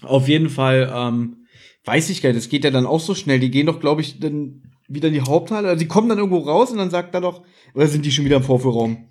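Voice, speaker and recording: Higher-voiced man retelling a story casually. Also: fast talker. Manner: animated retelling, acts out quoted lines. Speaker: podcast host. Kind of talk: casual chat, retelling and commenting on an audio drama.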